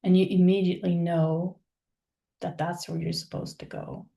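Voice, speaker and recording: A woman leading a guided meditation, speaking in a soothing, unhurried manner with pauses between phrases. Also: fairly low pitch, level tone, creaky, warm tone. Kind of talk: guided meditation, soft spoken visualization.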